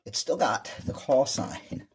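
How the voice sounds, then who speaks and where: A man's voice: slow, a little hiss or hum. A man giving a casual, plain-spoken walkthrough as a hobbyist presenter, explaining things as he goes.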